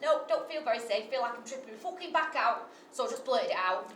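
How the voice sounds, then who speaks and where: A woman tells a comic story live, brash and sweary and quick to mock herself. Her pitch sits fairly high and moves a great deal. She is heard from far off in an echoey venue with faint room ambience. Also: lively intonation, fairly bright tone, slight background noise. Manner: brash, sweary, self-mocking, full of asides.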